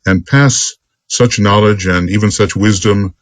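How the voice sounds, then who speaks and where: Man, low voice, esoteric teacher, halting earnest lecture delivery, close dry sound. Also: fairly even intonation, no echo. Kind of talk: extemporaneous spiritual lecture with commentary on a text.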